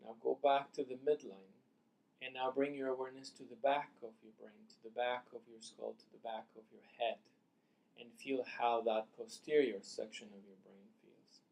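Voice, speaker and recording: A man leading a guided meditation, speaking softly and deliberately in short phrases with long pauses, as a teacher giving calm instructions. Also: medium pitch, vocal fry, low-quality recording.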